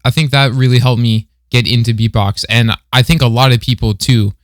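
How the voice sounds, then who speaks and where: A man's voice: medium pitch, steady tone, fairly quick, no echo, clean studio sound. A man chatting casually as a guest on a podcast.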